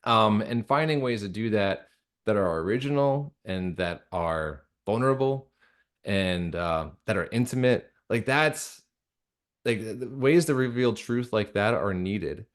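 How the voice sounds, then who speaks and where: A man with a fairly low voice talks as a guest in a casual interview. He is earnest and reflective, feeling his way through sentences with halting restarts and a conversational looseness. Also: expressive. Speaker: guest, audio drama creator and director.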